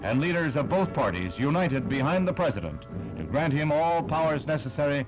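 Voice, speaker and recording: A man's voice: on the high side, big pitch swings, fairly quick, low-quality recording. An American man reading scripted 1960s newsreel narration in a dramatic, declamatory manner. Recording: archival 1960s film soundtrack. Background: loud newsreel music.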